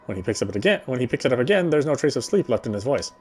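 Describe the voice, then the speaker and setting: A man's voice: medium pitch, very animated, rapid-fire, darkish tone, slightly rough. A man reading a visual novel's story text aloud as he plays, sometimes stumbling and rereading phrases. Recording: faint game music behind.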